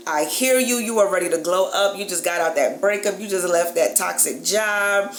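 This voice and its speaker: A woman, giving casual, emphatic, sisterly advice in a colloquial vlog style with drawn-out words. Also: lowish voice. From Jamaica-born, grew up in the Bronx, New York. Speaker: YouTube host, dating and lifestyle coach. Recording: echoey room with clear background noise.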